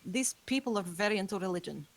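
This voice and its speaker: A woman's voice: mid-range voice, lively intonation, fast talker, natural voice. Woman reading as a young vampire girl, earnest, urgent, protective of her roomie. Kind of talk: table read of a script.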